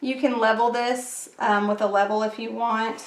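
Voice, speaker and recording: A woman's voice: a little high, little pitch movement, moderate pace, slight background noise. A woman hosting a craft tutorial, chatty and step by step, fighting a cold and losing her voice. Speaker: craft channel host. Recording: echoey room, faint kitchen clatter.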